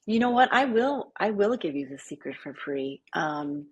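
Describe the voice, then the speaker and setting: A woman's voice: on the low side, sing-song, normal speed, slightly rough, muffled recording. A woman, a small business coach, speaking as a guest on a podcast interview in a chatty way that keeps checking in with her listeners. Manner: chatty, keeps asking "right?".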